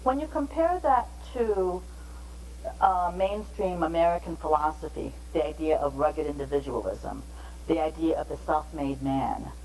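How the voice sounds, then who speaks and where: A woman giving a measured, explanatory training lecture on interpreting, pausing between phrases. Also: deep voice, lively intonation, dark tone, slight background noise, poor audio.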